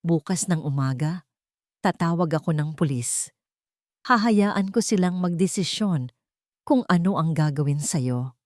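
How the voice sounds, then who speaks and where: A woman's voice: low voice, expressive, unhurried, long pauses, a little mellow, no echo, clean studio sound. A woman voicing a stern, unforgiving wealthy father in an audio story, with a hard, commanding manner. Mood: pitiless, commanding.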